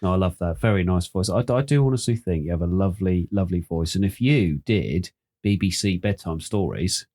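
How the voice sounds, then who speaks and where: Bloke cohosting, lowish voice, jokey and teasing, quick asides. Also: male voice, warm tone. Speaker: podcast co-host. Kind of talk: casual chat.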